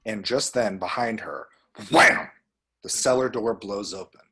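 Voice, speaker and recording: A man reading screen directions aloud as expressive scripted narration. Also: lowish voice, measured pace, slightly rough. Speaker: reader of action descriptions.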